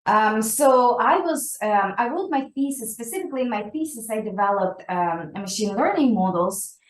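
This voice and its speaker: A woman's voice: fairly high pitch, expressive, moderate pace, echoey room. A woman whose first language is Ukrainian, a scientist answering interview questions in a thoughtful way, with many fillers and restarts, often ending points with "right?". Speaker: guest scientist.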